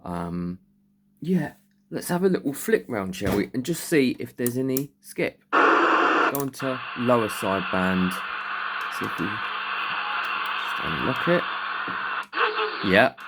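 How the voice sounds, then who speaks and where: Man over loud radio noise. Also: medium pitch, very animated, slow pace, long pauses. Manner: informal, thinking aloud. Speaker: radio hobbyist presenting a video. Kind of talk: casual chat, showing and explaining radio gear. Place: indoors at home beside radio equipment. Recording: very noisy room, loud radio static.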